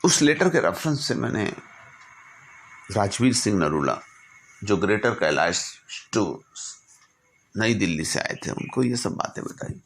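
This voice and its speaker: A man telling a story reflectively in a didactic way, with measured delivery and deliberate pauses. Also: on the low side, animated, slight background noise, poor audio. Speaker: storyteller and speaker. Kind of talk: reflective storytelling, reciting a letter from memory with asides.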